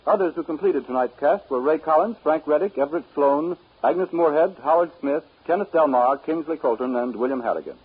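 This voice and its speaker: A man's voice: fairly high pitch, a little fast, few pauses, husky, narrow, tinny audio. An American man working as a 1930s radio announcer, polished and emphatic, on a scratchy old broadcast.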